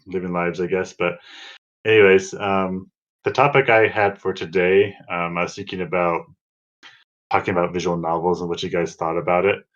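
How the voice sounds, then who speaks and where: A man with a low voice hosts a casual podcast. He talks in a loose, rambling way, full of filler words. The sound is a little dull and muffled. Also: level tone, moderate pace, fairly bright tone. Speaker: podcast host.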